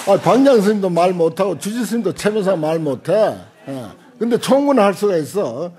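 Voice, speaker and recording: An older man, a Buddhist monk giving an informal lecture in a halting, conversational way with short interjections. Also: high voice, lively intonation, a little mellow, slightly rough, a faint crowd. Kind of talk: informal dharma lecture with commentary on a text. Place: lecture room at a temple center, seated at a desk. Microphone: desk gooseneck microphones close in front.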